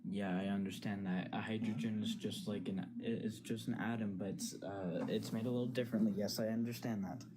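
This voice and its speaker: A young man in his twenties calls in to argue, his low voice insistent as he presses the same question again and again. Steady noise hisses behind his line. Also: fairly quick, few pauses. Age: 20s. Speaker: caller. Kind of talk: call-in debate. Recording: noisy call-in audio.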